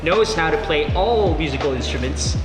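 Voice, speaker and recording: A man's voice: on the high side, expressive, normal speed. A Filipino man in his thirties with a Filipino accent, a guest singer-songwriter talking modestly and hesitantly in a casual interview. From Philippines. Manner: modest, hesitant, many fillers. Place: deli room. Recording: echoey room with loud music. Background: loud music.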